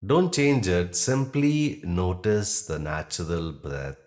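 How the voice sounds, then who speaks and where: A man guiding a yoga nidra relaxation in a calm, measured manner, leaving long pauses between short phrases. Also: deep voice. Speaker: yoga nidra meditation guide. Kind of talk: guided relaxation meditation.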